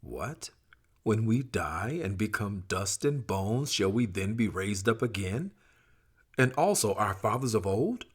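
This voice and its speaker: A man's voice: lowish voice, animated, measured pace, breathy edge. A man voicing doomed sinners and scoffers in a dramatized scripture reading, exclamatory, anguished and accusing. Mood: incredulous.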